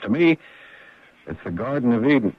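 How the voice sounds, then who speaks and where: A man's voice: mid-range voice, big pitch swings, moderate pace, noisy background, close to an everyday voice. An actor playing an easygoing, guarded amnesiac handyman in an American radio drama, with a hesitant, plain-spoken delivery and an American accent. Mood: wistful. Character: easygoing amnesiac handyman, guarded about his forgotten past. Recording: dull, dry old radio recording.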